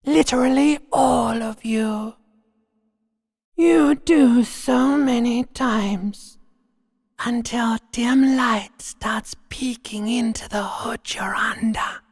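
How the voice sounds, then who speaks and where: A man voicing a sinister, sneering primal brain in a video game, with a raspy, hissing half-whisper and drawn-out theatrical delivery. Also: very high-pitched, dry recording, studio quality, big-room sound, exaggerated voice. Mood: morbid, taunting. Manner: slow, theatrical, drawn-out, swelling from whispers to cries. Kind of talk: video game voice acting, menacing monologue.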